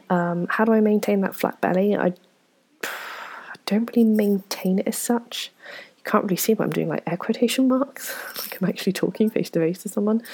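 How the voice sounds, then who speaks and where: A woman, answering viewer questions in a frank, self-deprecating, rambling way, full of ums and soft whispered asides. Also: medium pitch, breathy edge. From England. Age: 20s. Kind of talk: casual Q&A chat to camera.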